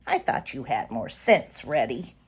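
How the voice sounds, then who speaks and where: A woman's voice: rumbling low, huge pitch swings, measured pace, slightly rough, pitched below the performer's own voice, full character voice. A woman voicing a shrewd, bossy old granny fox in a children's storybook, snappish and commanding. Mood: scolding. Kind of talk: children's storybook read aloud.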